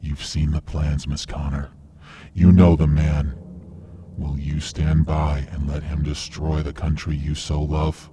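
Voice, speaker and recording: A man playing a cold, self-righteous vigilante killer in a radio drama, speaking in a measured, menacing, lecturing way. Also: a bass voice, flat delivery, dark tone, slight background noise. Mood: grave, persuading.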